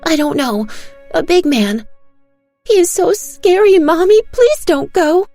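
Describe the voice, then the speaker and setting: A little girl played by a grown woman pleads in a high, tearful wail. She sounds small and badly frightened, as in a dark audio fairy tale, with music underneath. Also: very animated, a little slow, no room sound, heavily acted. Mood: pleading, tearful. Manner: wailing, pleading. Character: frightened little girl, sobbing to her mother.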